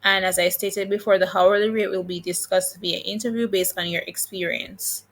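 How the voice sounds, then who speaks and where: A woman's voice: mid-range voice, fairly even intonation, moderate pace, fairly bright tone. A woman with a Jamaican accent hosting an informal explainer, talking listeners through details in an instructive, encouraging way. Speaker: video host giving job-hunting advice. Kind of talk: informal explainer, reading listings and commenting.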